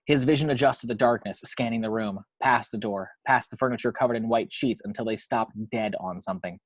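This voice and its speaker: A man's voice: medium pitch, brisk, no room sound. A man reading action descriptions from a screenplay as scripted narration.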